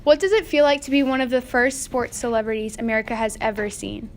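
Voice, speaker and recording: A female interviewer with a fairly high voice puts scripted questions in a mock 1920s radio show. A faint noise sits behind her. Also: fairly even intonation, fast.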